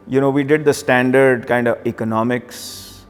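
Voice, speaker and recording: A man's voice: mid-range voice, fairly even intonation, normal speed, clean tone. Middle-aged Pakistani man in a reverberant space. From Karachi, Pakistan. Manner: conversational, occasional Urdu phrases. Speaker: banker, interview guest. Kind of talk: reflective interview storytelling. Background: background music.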